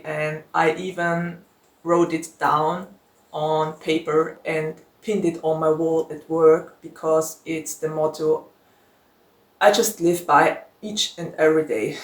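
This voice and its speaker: A woman whose first language is German, chatting casually to her viewers in a Q&A vlog, frank and laughing often. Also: sits low. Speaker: book YouTuber. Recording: echoey room.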